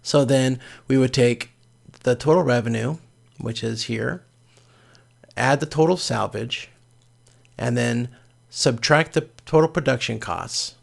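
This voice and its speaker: A man giving an informal, step-by-step spreadsheet lecture as a course instructor, with a gravelly, creaky voice. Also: medium pitch. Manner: explains step by step in plain informal words.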